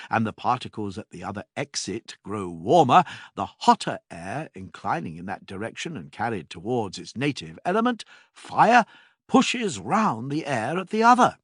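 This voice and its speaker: A man reading a classical philosophy text as scripted narration, with measured, deliberate phrasing and emphatic pauses. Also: medium pitch, fairly bright tone, slightly rough, no echo, studio quality.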